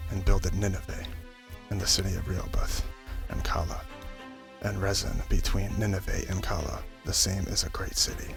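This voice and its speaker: A man narrating scripture in an ASMR-style Bible audio drama, with a creaky voice and a halting way with names. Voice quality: low, creaky voice. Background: music.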